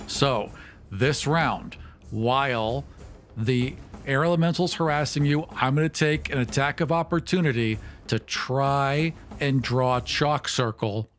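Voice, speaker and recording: Mid-pitched man playing a tabletop game. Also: fairly bright tone. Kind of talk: casual game talk and improvised narration.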